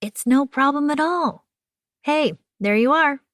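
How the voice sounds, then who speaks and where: A chatty, kindly woman friend, put on by a female audiobook reader, her voice lifted higher than the narration and full of easy reassurance. She sounds upbeat and soothing. The recording is close and dry. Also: measured pace, fairly bright tone, no echo. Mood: warm farewell.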